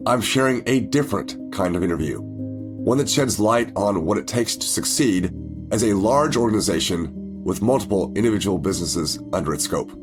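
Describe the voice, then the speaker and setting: A man hosting a podcast, his voice raspy and deeper than usual from a head cold, talking in an easy, measured way. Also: lively intonation, over music. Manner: conversational, measured pace with drawn-out phrases. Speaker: podcast host. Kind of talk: podcast intro and interview.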